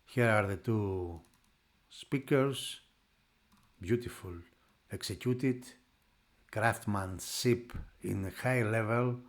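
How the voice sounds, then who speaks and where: A man who is not a native English speaker, narrating a product unboxing off the cuff in halting phrases. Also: on the low side. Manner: halting, piecing phrases together. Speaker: product reviewer.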